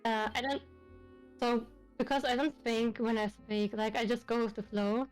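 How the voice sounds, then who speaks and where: A woman's voice: on the high side, flat delivery, normal speed, faint music behind. A woman from Belarus with a German accent, Russian her first language, chatting casually as a VTuber guest with lots of fillers and restarts. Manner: rambling, many fillers and restarts. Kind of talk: casual chat on a livestream.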